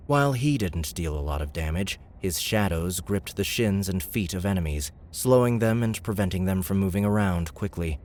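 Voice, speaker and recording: A man's voice: sits low, a little mellow, a little hiss or hum. A man narrating scripted fantasy fiction as an audiobook reader.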